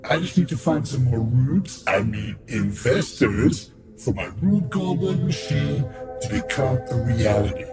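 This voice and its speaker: A man's voice: medium pitch, animated, unhurried, over music, natural voice. A man playing a glib, scheming salesman and inventor in a radio play. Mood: scheming pitch. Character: slick male huckster inventor, scheming salesman.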